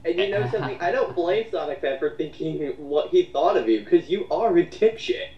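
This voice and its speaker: A man giving casual, mocking commentary and reading out comments in a derisive, sweary, halting way. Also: a little high, lively intonation, dark tone. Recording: muffled roomy recording, distant mic.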